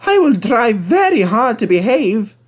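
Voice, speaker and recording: A man voicing a proud young male steam engine in a storybook, his voice pushed very high and strained. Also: big pitch swings, measured pace, heavily acted. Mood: contrite. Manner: wailing then shouting in triumph.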